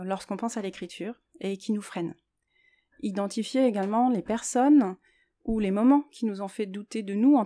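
Mellow-voiced woman, reflective and hesitant. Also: mid-range voice. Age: 30s or older. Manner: hesitant, thinking aloud. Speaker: podcast host. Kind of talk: reflective solo podcast talk on writing.

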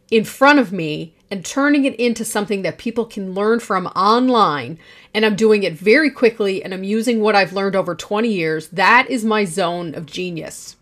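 A woman's voice: medium pitch, a bit bright. A woman in her forties hosting a solo podcast, talking in a confident, projecting and emphatic way. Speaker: podcast host.

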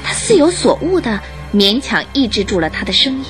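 Animated woman narrating a radio drama. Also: on the high side, brisk, faint music behind.

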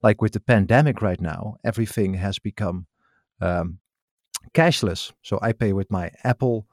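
A man with a Dutch accent, a native Dutch speaker, hosting a casual chat in a thoughtful, hesitant way with many "uh" pauses. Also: on the low side, no echo, clean studio sound. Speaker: podcast host. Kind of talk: casual conversation.

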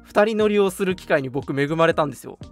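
A man's voice: a little high, lively intonation, fast, creaky, soft background music. A young man chatting casually as host of a livestream radio show, playfully talking back when teased. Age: young adult. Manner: playful, mock-indignant retorts to teasing.

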